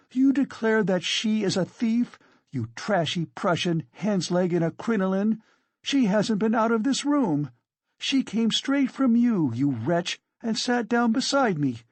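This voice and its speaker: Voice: medium pitch, no room sound, pitched above the performer's own voice. A narrator voicing a hysterical, fiercely proud and indignant poor woman in a dramatic audiobook reading. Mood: shrieking fury. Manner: shrieking, frantic, exclamatory.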